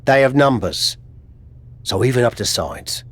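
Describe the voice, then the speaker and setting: A man's voice: mid-range voice, expressive, unhurried. A man playing a frank, supportive friend and adviser in a wrestling video game, speaking earnestly and conversationally. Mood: earnest, urging.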